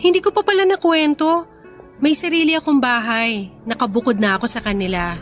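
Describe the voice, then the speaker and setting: An actress playing a young woman in a radio drama, speaking in natural, expressive dialogue. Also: sits high, fairly quick, close to an everyday voice. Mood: light, shy. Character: apologetic young woman, grateful but fiery when provoked. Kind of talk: radio drama acting, conversational. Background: music bed.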